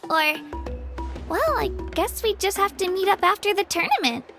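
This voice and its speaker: A plucky young girl in training as a warrior. The voice is childlike and very high, earnest and eager, in video game style with music behind it. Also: lively intonation, moderate pace. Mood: wistful, resolute. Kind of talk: English video game voice acting.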